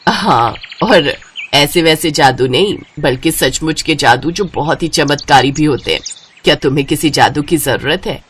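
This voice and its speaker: A woman's voice: deep voice, moderate pace, a bit bright, a little hiss or hum, voice pushed down. A woman voicing a kindly middle-aged female magician in a story, instructing in a motherly way. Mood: earnest, instructive.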